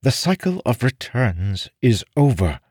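A man's voice: medium pitch, very animated, measured pace, darkish tone, husky, no room sound, clean studio sound, pitched above the performer's own voice. A man voicing a commanding, cool noblewoman in a fantasy audiobook, with a curt, imperious manner. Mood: cool, stern.

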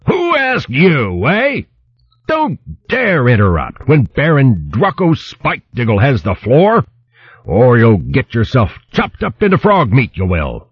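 Loud, blustering hedgehog chieftain. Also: male voice, expressive, takes it slowly, dry recording, highs cut off, exaggerated voice. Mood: furious bluster. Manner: booming, stern, rough rustic speech. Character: massive, blustering, bullying male hedgehog chieftain.

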